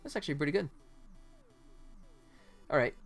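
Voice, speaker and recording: A man's voice: fairly high pitch, animated, moderate pace, vocal fry, breathy edge. An American man hosting a casual livestream as he works, rambling and thinking aloud. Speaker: livestream host, game developer. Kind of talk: casual livestream chat while working. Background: music.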